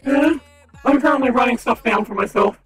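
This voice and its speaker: A man streaming a game live who chats casually and upbeat to his viewers, with a slightly raspy voice. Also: extremely high pitch, fairly quick, over music. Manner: chatty, upbeat, talks to chat.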